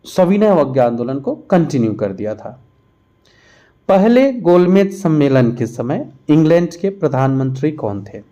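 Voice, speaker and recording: Man, slightly high pitch, very mellow tone, teacher, explanatory question-and-answer revision delivery. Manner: explanatory, reads each question aloud then answers it. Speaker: teacher for competitive exam preparation.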